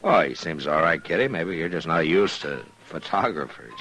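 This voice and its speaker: An American actor playing a laconic, steady Old West marshal in a 1950s radio western. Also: male voice, extremely low pitch, moderate pace, slightly rough, heavily acted. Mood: relaxed, amused. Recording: faintly noisy 1950s radio recording.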